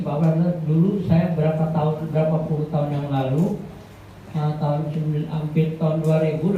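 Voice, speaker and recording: A man's voice: recorded from a distance, narrow, tinny audio, slight background noise. Man preaching in an echoey church hall. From Indonesia. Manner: rambling, addresses the congregation as "saudara"; grows louder and higher when preaching. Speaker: guest preacher. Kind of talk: Pentecostal sermon.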